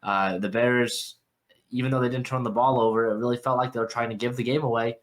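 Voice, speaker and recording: A man's voice: mid-range voice, fairly even intonation, brisk. A young man hosting a football podcast, congested from a cold, rambling through a casual game breakdown and often repeating and correcting himself. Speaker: sports podcast host.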